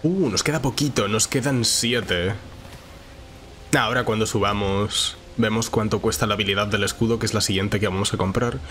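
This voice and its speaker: A man's voice: on the low side, normal speed, fairly bright tone, slight background noise. A man with a nasal, congested voice and a sore throat from a cold, giving informal, chatty Let's Play commentary. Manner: informal, chatty, thinking aloud. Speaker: gaming channel host. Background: game music.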